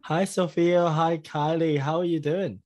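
A podcast host interviewing guests over a call, friendly and asking quick rapid-fire questions. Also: mid-range voice, fairly even intonation, a little mellow, soft background music. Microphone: remote call recording.

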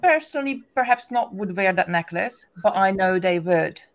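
A woman speaks English with a strong Hungarian accent, her first language showing in the grammar. She rambles casually in a mid-pitched voice, full of restarts and small laughs, as a guest on a chat show. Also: animated, normal speed. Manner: chatty, many restarts and "like" fillers, laughs often. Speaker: guest, craft business owner.

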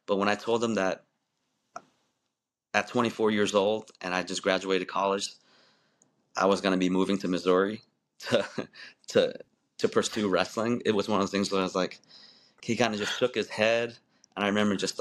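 A man's voice: on the low side, steady tone, moderate pace, pauses often. A man in his forties, a guest on a podcast, telling his story in a loose, rambling way with many restarts and easy laughs. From born in Malaysia, raised in the United States. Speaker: wrestling referee, podcast guest. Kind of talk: casual interview storytelling.

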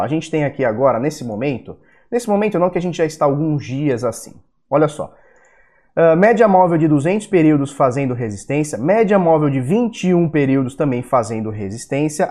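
A man's voice: on the high side, darkish tone. Blunt Brazilian man ranting about markets. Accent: Brazilian Portuguese. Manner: blunt and profane, with heated rants. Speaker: crypto video host. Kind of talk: casual market analysis talk.

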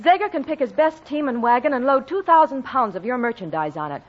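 An actress playing a determined, earnest young western daughter, in old-time radio style. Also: female voice, high voice, animated, a little fast. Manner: earnest, challenging. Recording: dull, noisy old radio recording.

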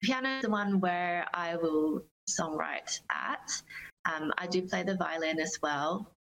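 A woman giving considered, measured answers in a conversational interview as a singer-songwriter guest. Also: fairly low pitch, fairly bright tone, low-quality recording. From Australia.

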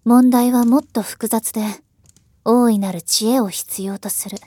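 A woman's voice: fairly high pitch, fairly even intonation, mellow, no echo. A woman playing a world-weary, ironic elder sister in a voice drama, musing wistfully. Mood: probing, pensive. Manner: slow, wistful, rhetorical musing. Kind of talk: Japanese voice drama acting.

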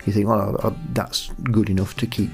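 Low-pitched man in casual conversation. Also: level tone, a little fast, vocal fry, a little mellow, breathy edge, soft background music. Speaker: audio drama writer. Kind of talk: casual interview chat.

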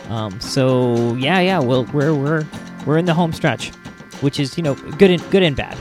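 A man hosting a podcast solo, talking casually in a rambling, halting way with chuckles mixed in. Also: mid-range voice, over music. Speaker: podcast host.

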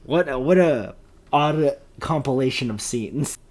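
A man's voice: mid-range voice, expressive, measured pace, a little mellow, a little hiss or hum. A man talking casually as co-host of a TV recap podcast. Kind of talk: casual chat.

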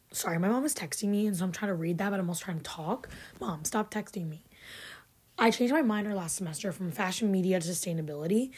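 A young woman in her twenties hosting a podcast, chatty and a bit sarcastic, her voice raspy from having just woken up. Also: medium pitch, a little mellow. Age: 20s. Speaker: podcast host. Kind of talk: casual chat. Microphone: filmed podcast setup.

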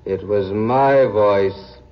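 An actor playing a vengeful dead man who taunts his rival in a deliberate manner, in 1940s radio style. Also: lowish voice. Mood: menacing. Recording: muffled, hissy old radio recording.

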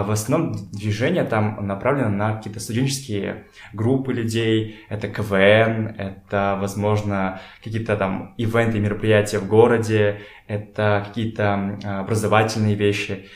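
A young man from Kazakhstan telling stories casually as a podcast guest, informal and slangy, thinking aloud. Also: on the low side. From Kokshetau, Kazakhstan. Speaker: podcast guest, photographer and art director. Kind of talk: casual conversational storytelling. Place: indoors in a home. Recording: echoey room.

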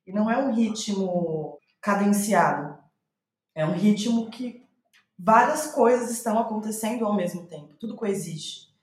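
A Brazilian woman talks reflectively about her own writing in a clearly echoey room. Her pitch sits in the middle, and she speaks thoughtfully, searching for the right words. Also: measured pace, darkish tone. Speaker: playwright, guest. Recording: roomy, echoing sound.

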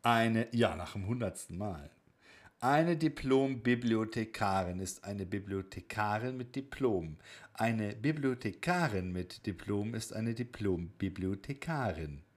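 A man's voice: fairly low pitch, lively intonation, measured pace. A man co-hosting a podcast in casual, conversational talk. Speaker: podcast co-host.

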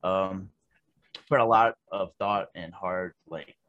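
A man's voice: low-pitched, fairly even intonation, slow, lo-fi sound. A man being interviewed about his horror film, talking in a laid-back way with casual swearing and lots of "like" fillers. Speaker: guest, horror filmmaker.

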